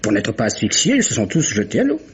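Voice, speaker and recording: Shipowner under questioning: blustering, evasive, mid-pitched, on a dull old radio drama recording. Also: male voice, huge pitch swings, fast. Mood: assertive. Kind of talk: 1950s-style French radio mystery acting. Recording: dull vintage radio recording, faint noise.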